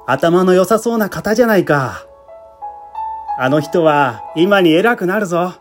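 A man's voice: sits high, animated, unhurried. Storybook role: cheerful, courteous policeman and proud family man, voiced by a man, chatty and humble, music bed. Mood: admiring. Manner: chatty, deferential, folksy. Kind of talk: literary reading with acted dialogue.

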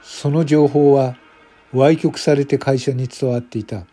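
A man's voice: mid-range voice, fairly even intonation, unhurried, mellow, husky. A man giving scripted first-person narration for a radio drama in a brooding, solemn manner.

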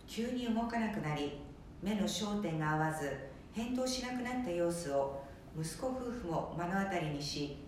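A woman's voice: lowish voice, slight background noise. A woman hosting a small radio show, presenting from a script with cheerful, announcer-like emphasis. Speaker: host. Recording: echoey room from a distance.

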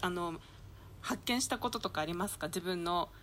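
A woman's voice: fairly low pitch, moderate pace, fairly bright tone, a little hiss or hum. A woman hosting a casual group chat as a podcast presenter. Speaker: host of a theatre company's talk podcast.

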